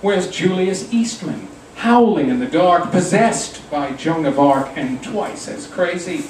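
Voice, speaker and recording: A man giving a live poetry reading in a theatrical, deliberate style with wry asides. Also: on the high side, lively intonation, darkish tone. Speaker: poet reading at a launch. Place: pub reading room, indoors. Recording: echoey room, distant mic, faint noise.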